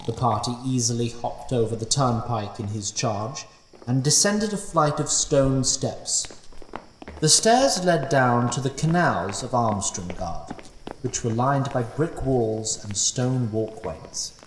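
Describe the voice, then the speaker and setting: A male narrator telling a fantasy tale with a lively, rising-and-falling pitch. He sounds a little distant from the mic, in a roomy, echoing space. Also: takes it slowly, a little hiss or hum.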